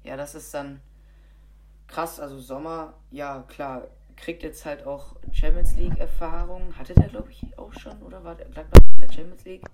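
A woman's voice: low-pitched, steady tone, moderate pace, noisy background. A woman with a cold, co-hosting a podcast and chatting casually about football with many fillers and restarts. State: has a cold. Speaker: podcast co-host.

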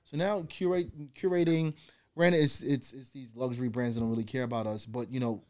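A man, a podcast guest talking in a loose, slangy, animated way. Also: medium pitch, brisk, dry recording. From Guyana. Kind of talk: casual conversation.

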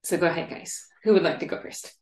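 A woman hosting a podcast, welcoming and enthusiastic as she introduces guests sitting with her. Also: quick pace. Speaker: podcast host. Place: room shared with guests. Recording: echoey room.

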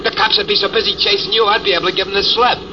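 A cowardly, whining young bank robber, played by a man, stammering and pleading in a slightly high voice. The 1940s radio acting comes through dull, hissy old broadcast audio. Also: fairly even intonation, racing, lightly acted. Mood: wheedling.

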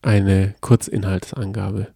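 A man's voice: low voice, little pitch movement, creaky, mellow, dry recording, studio quality. Eager man in casual chat. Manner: earnest and enthusiastic, with halting restarts. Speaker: podcast co-host.

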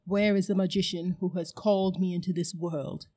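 Jadis, a towering witch queen, as a woman reading a storybook puts her on, pours cold scorn on everyone before her. Her commands come out loud and forceful, with a dark, mellow weight behind every word. She never raises the pitch, only the pressure. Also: steady tone, moderate pace, slightly rough. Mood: imperious. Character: imperious, cruel giant witch queen.

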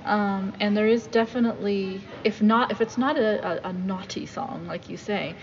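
A woman's voice: mid-range voice, normal speed, big-room sound, muffled recording. A woman co-hosting a casual talk show, thinking aloud and hedging her opinions. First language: not Korean. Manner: thoughtful, hedging. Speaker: podcast co-host. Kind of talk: casual discussion of song lyrics.

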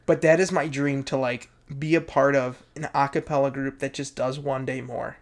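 A man's voice: mid-range voice, steady tone, moderate pace. A man co-hosting a casual podcast, chatting eagerly and repeating words for emphasis. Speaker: podcast co-host. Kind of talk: casual chat.

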